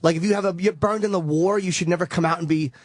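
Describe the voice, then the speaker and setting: A man's voice: high voice, fairly even intonation, quick pace, dry recording. A man co-hosting a radio talk show, arguing his point in a blunt, profane way on a studio mic. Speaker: radio co-host. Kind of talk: casual radio banter.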